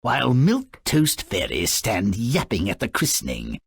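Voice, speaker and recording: Casting: sardonic dwarf storyteller for a game, harsh gravelly rasp, slow mock-dramatic delivery, mean-spirited wit. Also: male voice, fairly low pitch, wildly swooping pitch, no room sound, studio quality, full character voice. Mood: derisive. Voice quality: gravelly, raspy. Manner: sneering, mock-dramatic, swooping from mutters to shouts. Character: Grimm, cranky sardonic fairy-tale-mocking male dwarf gremlin narrator. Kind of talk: theatrical storybook narration for a video game.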